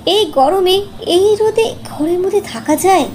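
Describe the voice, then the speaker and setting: A woman's voice: extremely high pitch, a little slow, darkish tone, voice pushed up. A woman voicing a worried village wife who complains and urges, in a cartoon fairy tale. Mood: worried, complaining. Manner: complaining, urging.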